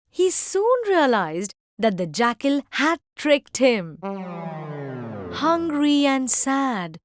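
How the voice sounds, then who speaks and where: High-voiced woman telling children's fairytales, slow and lively. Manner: simple storytelling for young children. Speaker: children's story narrator. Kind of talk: scripted fairytale narration. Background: background music.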